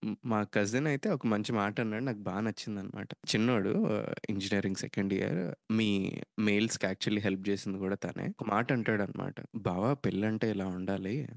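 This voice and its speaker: Low-voiced man hosting a podcast. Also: brisk, creaky, no room sound, studio quality. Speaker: podcast host. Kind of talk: casual conversational interview in Telugu mixed with English.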